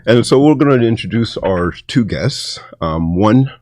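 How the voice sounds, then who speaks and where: A man hosting a podcast, introducing guests in a halting way with many fillers and restarts. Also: on the low side, lively intonation, darkish tone, dry recording, studio quality. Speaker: podcast host.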